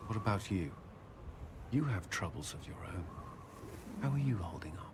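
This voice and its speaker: An earnest fantasy soldier in love for a video game, his voice on the low side. He speaks sincerely and a little haltingly, like a devoted but awkward suitor, with loud ambient noise behind him. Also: animated. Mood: concerned. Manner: sincere, hesitant, gently awkward. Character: earnest male soldier, former templar, devoted romantic suitor. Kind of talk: dramatic video game dialogue. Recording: noisy background ambience.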